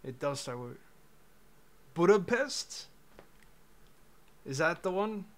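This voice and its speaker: A young man on a livestream, talking slowly and lazily to his chat with a slightly raised voice. He jokes around in a laid-back way. Headset mic in a small room at home. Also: fairly high pitch, very animated, a little hiss or hum. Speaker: streamer.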